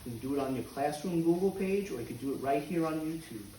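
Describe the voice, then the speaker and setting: Male primary teacher for kids' read-aloud, somewhat high-pitched, measured phrasing, echoey room. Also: animated, dark tone, recorded from a distance, slight background noise. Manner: deliberate, clearly spaced phrasing for children. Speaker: teacher reading aloud to students. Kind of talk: children's read-aloud and announcements to pupils.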